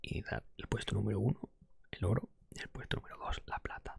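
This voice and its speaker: ASMR host, male, Spain Spanish accent. Whispered, very husky and breathy with some fry, dry close-mic sound, informal opinionated chat. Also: on the low side, a little fast, no room sound. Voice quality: breathy, mostly whispered, soft-spoken. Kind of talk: ASMR, whispered casual video game review. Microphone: close microphone.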